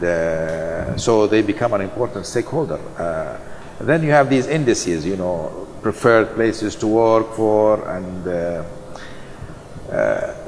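Low-voiced man on a panel. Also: long reverb tail, slight background noise, poor audio. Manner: measured, hesitant with fillers. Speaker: business panelist. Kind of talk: panel discussion. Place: large conference hall stage.

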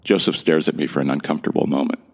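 A man's voice: a bass voice, steady tone, rapid-fire, vocal fry, darkish tone. A man narrating an audio drama in the first person, earnest and bewildered. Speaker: narrator and lead actor.

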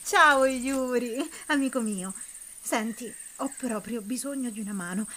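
Cast a woman as a worried little critter, high bright voice, pleading. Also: slight background noise. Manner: pleading, quick when worried. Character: small male woodland animal, anxious and pleading. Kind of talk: children's audio story acting.

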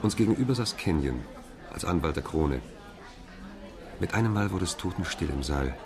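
A sober lawyer telling his case in a radio play, really low voice, measured. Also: expressive, crowd noise. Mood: tense, sober. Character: Irwin, measured young English barrister narrating his case. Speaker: narrator and character. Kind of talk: radio drama narration and dialogue.

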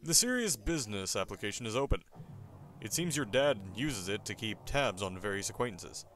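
A man's voice: on the low side, big pitch swings, moderate pace, fairly bright tone, slight background noise. A man playing a wry, instructive narrator in a web comic dub, reading scripted game commands and narration. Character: wry second-person narrator issuing adventure game commands.

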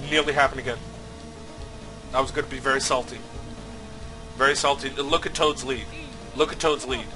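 A man commentating his own racing game playthrough, slightly sick and nasal, swearing often with sudden loud outbursts. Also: mid-range voice, rough recording. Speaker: let's play host. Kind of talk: casual gameplay commentary.

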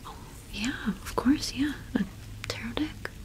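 A young woman doing an ASMR shopkeeper roleplay in a gentle, unhurried whisper with pauses, close to the microphone. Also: fairly high pitch, low-quality recording. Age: young adult. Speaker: ASMR performer playing a cottage witch shopkeeper.

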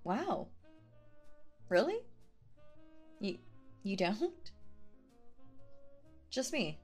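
A woman's voice: mid-range voice, very animated, extremely slow, darkish tone, slightly rough, soft background music, exaggerated voice. A woman playing a sweet but possessive, obsessive young woman on a date in a roleplay audio, stammering nervously and prone to jealousy. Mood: flustered, eager. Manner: flustered, stammering, nervous giggles, swinging to jealous. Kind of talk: roleplay audio acting, intimate one-sided dialogue.

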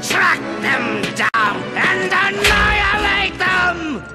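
A man's voice: very high-pitched, drawn-out words, over loud music, heavily acted. A man voicing a theatrical, hot-tempered robot supervillain in a video game, bombastic and megalomaniac. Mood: irate. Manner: bombastic, shouting. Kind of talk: animated video game voice acting.

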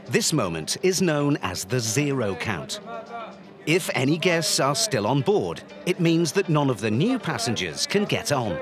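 A male documentary narrator reads scripted voice-over. His pitch is animated and sits in a middle range, with music playing clearly underneath. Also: measured pace. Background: background music.

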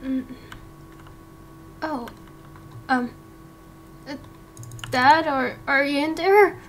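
Woman playing a halting, high-voiced timid young man. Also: a bit bright, slight background noise, exaggerated voice, low-quality recording. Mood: nervous. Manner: hesitant, stammering, halting. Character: timid anxious young man longing for his father. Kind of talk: amateur game roleplay acting.

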